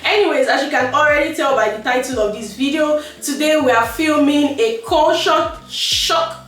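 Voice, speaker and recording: A woman's voice: sits high, normal speed, fairly bright tone. A young woman hosting a casual group chat on her vlog. She talks in a bubbly way and laughs easily. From Nigeria. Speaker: vlog host. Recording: very echoey room, far from mic.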